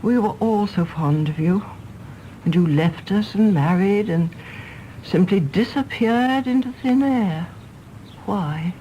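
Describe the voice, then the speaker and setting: A woman's voice: medium pitch, slow pace, mellow, muffled recording, slight background noise, natural voice. An actress playing an outspoken elderly English mother, emphatic and genteel, affectionate but exasperated, in British radio drama style. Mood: fondly reproachful. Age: older.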